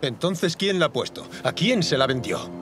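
A man as an earnest villager, highish voice. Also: expressive, moderate pace, over music, lightly acted. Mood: accusing. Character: reasonable, fair-minded village man confronting a hunter. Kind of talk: animated series dub acting.

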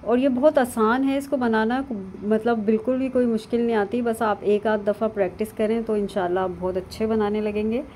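A woman, calmly narrating a cooking lesson step by step over video of her hands at work. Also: on the high side, a little fast, hardly any pauses, a little hiss or hum. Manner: calm, instructive, with frequent fillers. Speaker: cooking channel host. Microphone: voice-over over hands-only video.

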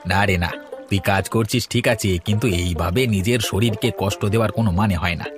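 Village fish farmer, cartoon, male actor: low-pitched, brotherly, caring, folksy, faint backing music. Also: a little fast, lightly acted. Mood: caring, practical. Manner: gently cautioning, folksy. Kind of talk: cartoon dialogue. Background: instrumental music.

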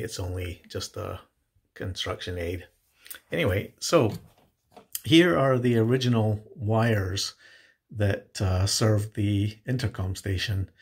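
A man explains a home tech project informally. His voice sits on the low side with a lot of creaky fry. He talks in halting, hesitant phrases, like an amateur tutorial presenter. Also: a little mellow.

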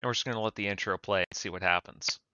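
A man's voice: fairly low pitch, fairly even intonation, fast talker, bright, forceful tone, smooth, no echo, lo-fi sound. A man talking informally through a casual how-to screen-capture video. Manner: informal, conversational. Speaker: tutorial video maker.

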